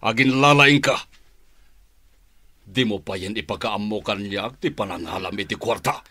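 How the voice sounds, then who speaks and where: A man's voice: fairly low pitch, moderate pace. An actor with a Filipino accent playing a violent, hot-tempered father who barks threats in a radio drama. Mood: furious, threatening. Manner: barking, threatening, shouting. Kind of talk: Philippine radio drama acting.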